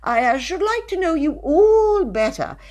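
A prissy, pompous thin poet of a man, put on by a woman reading a storybook aloud, his voice pushed up high and thin. He fusses and stalls over his words with little uh's, fluttering up and down in pitch. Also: sing-song, darkish tone, heavily acted. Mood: fawning. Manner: fussy, halting with many uh's. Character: prim, pompous thin male would-be poet.

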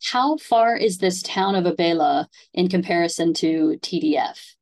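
Female host, lowish pitch, informal conversational delivery for a relaxed interview format, explanatory. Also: moderate pace.

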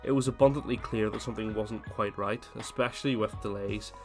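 Lower-voiced man podcasting with a cold. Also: a little fast, faint music behind. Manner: chatty and self-deprecating, then steady read narration. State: has a cold, voice slightly worn. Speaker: podcast host. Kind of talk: reading a scripted gaming essay podcast.

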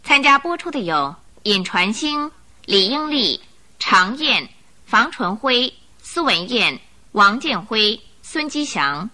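A woman narrating a radio drama in an expressive, scripted storytelling style. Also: fairly high pitch, slow, slight background noise.

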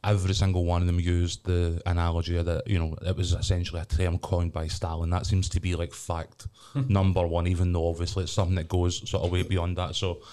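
A man's voice: low-pitched, little pitch movement, fairly quick, few pauses, warm tone, smooth. A Scottish man hosting a podcast, chatting loosely with lots of ums and restarts. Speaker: podcast host. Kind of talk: casual chat.

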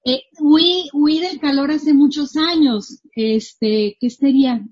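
A woman's voice: sits high, slow, dull audio. A woman, a marketing coach chatting casually as a guest from her home. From northwestern Mexico. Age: 30s. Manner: chatty, animated, laughs easily. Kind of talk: casual interview chat.

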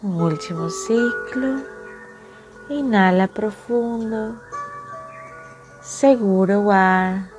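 A woman leading a guided meditation in a soothing, unhurried manner, with long pauses between phrases. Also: mid-range voice, a little mellow. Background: music.

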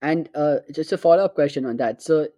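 A man's voice: fairly high pitch, a little fast, warm tone, dry recording. A man hosting an interview, thinking aloud in a rambling way with many restarts. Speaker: podcast host. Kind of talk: casual interview talk.

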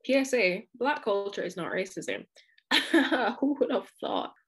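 A woman's voice: mid-range voice, sing-song, unhurried, a bit bright, breathy edge. A woman from Jamaica, speaking as a student guest in an earnest, outspoken way with frequent asides. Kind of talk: candid conversational discussion.

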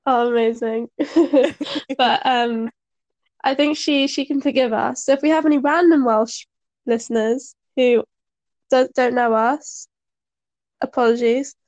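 A woman's voice: high-pitched, a little slow, a little mellow. A young woman co-hosting a podcast, chatting casually and teasing her guest, laughing often. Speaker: podcast co-host.

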